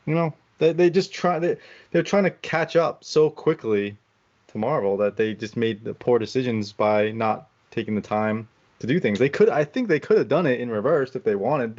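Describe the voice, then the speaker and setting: A man's voice: fairly low pitch, darkish tone, dull audio. A man co-hosting a podcast, casually critiquing films in a dismissive, conversational way. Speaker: podcast co-host.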